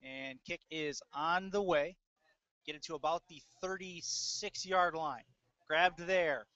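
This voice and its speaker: Dull-sounding man calling football, higher-pitched. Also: slow pace, pushed and bright, no echo. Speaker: play-by-play announcer. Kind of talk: live American football commentary. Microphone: close mic, audio-only stream. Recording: dull lo-fi dry audio.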